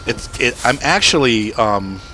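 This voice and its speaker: Chatty man with a bright, slightly rough voice. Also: medium pitch, animated, measured pace, slight background noise. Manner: rambling, chatty, with frequent fillers and soft laughs. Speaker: gaming channel host. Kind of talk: casual live-stream gameplay commentary.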